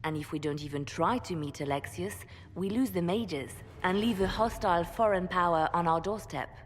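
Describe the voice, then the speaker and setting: An actress playing a cool, calculating spymistress, measured and pragmatic, in a fantasy video game. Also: female voice, on the low side, close to an everyday voice. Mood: grave. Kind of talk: dramatic video game acting. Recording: reverberant hall with faint noise.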